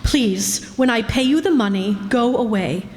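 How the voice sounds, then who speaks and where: A woman's voice: fairly high pitch, expressive, a little slow, darkish tone, slight background noise. A woman playing a headstrong, anxious young society woman in an old-time radio crime drama. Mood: pleading. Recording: echoey hall.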